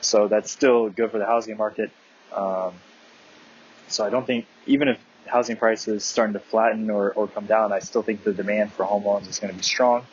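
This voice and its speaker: A man giving a casual, halting investment commentary from notes, often correcting himself as he goes. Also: fairly low pitch, steady tone. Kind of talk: casual earnings call analysis from notes. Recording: muffled audio with clear background noise.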